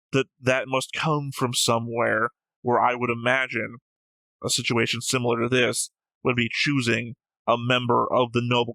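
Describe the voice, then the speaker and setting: Bright-voiced man, argumentative and digressive. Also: medium pitch, vocal fry, dry recording, low-quality recording. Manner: argumentative, digressive, self-correcting. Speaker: reaction show host. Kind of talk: casual discussion, reading out viewer comments.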